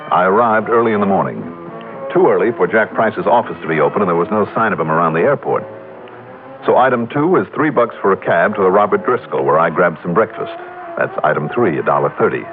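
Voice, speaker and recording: Tough American investigator, low voice, wry clipped delivery, old radio detective drama, muffled, music behind. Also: male voice, lively intonation, quick pace. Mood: dry narration. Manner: wry, clipped, hard-boiled. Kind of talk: old-time radio detective narration and dialogue.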